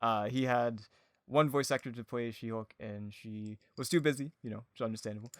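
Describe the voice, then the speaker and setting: Giggly, hesitant man with a clear voice. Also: mid-range voice, steady tone, brisk, dry recording, clean studio sound. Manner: hesitant, giggly, frequent laughter while talking. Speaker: podcast guest, audio drama producer. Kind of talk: casual anecdotal chat.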